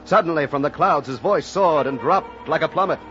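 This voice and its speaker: An actor playing a solemn whaling sailor who narrates a sea tale as dramatic old-time radio. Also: male voice, a little high, a little fast, fairly bright tone, lightly acted. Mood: solemn, suspenseful. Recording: dull old radio recording, music behind.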